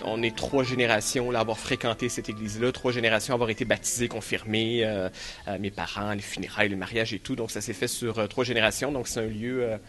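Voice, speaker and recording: A man's voice: on the low side, level tone, fast, a bit bright, soft background music. A man with a Québécois accent, a longtime resident answering a vox pop with many fillers. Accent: Québécois French. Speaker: lifelong neighborhood resident.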